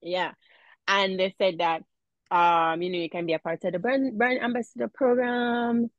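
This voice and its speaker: A woman with a Jamaican accent, chatting as a guest in a casual interview, animated and laughing often. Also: mid-range voice, normal speed, dry recording, muffled recording. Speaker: guest, mom influencer.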